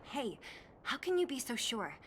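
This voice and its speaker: An actress playing a sharp, skeptical young female companion in a video game, wry and teasing in the way she talks. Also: on the high side, big pitch swings, normal speed, a little hiss or hum.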